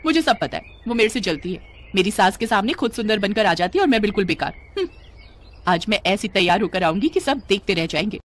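A woman's voice: medium pitch, sing-song, brisk, a little hiss or hum, close to an everyday voice. A woman voicing a vain, petulant young bride in a cartoon story, with a whiny, self-important manner. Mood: resentful. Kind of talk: animated moral story dialogue.

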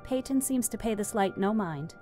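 A woman's voice: medium pitch, expressive, normal speed, mellow. A woman reading scripted narration as the narrator of a screenplay. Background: faint music.

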